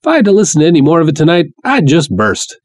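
A man's voice: a little high, wildly swooping pitch, quick pace, no echo, pitched above the performer's own voice. A man voicing a brash, jovial, sly showman promoter with folksy salesman patter in a pulp story reading. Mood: confiding. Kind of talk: dramatic reading of pulp fiction.

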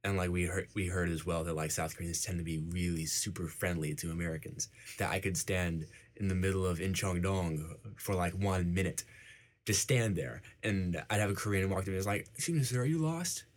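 A man's voice: low-pitched, brisk. A young man, whose first language was Icelandic, being interviewed in a casual chat, filling his talk with "like". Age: young adult. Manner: fills talk with "like".

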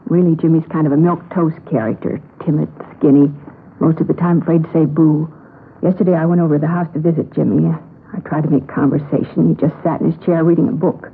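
A woman's voice: low voice, steady tone, fast. Earnest, worried woman on tinny old radio. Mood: uneasy recounting. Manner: plainspoken, earnest. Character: earnest, worried young woman reporting missing sister. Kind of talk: 1950s radio police drama. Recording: tinny vintage radio recording, noisy.

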